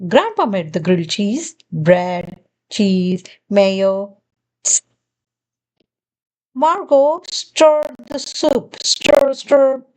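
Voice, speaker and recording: A woman reading a children's storybook aloud in an expressive narrating style. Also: mid-range voice, very slow, rough recording.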